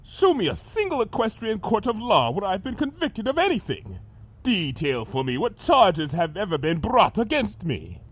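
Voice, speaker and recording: A man's voice: high-pitched, huge pitch swings, moderate pace, fairly bright tone, breathy edge, full character voice. A man voicing a smug, pompous villainous monster with florid, theatrical speech in a fan fiction audio drama. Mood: indignant.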